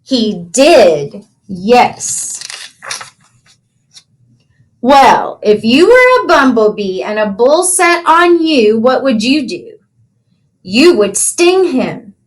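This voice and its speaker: A woman reading a picture book aloud to children in an animated storytelling way, pausing to ask them simple questions. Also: fairly high pitch, low-quality recording. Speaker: children's read-aloud teacher.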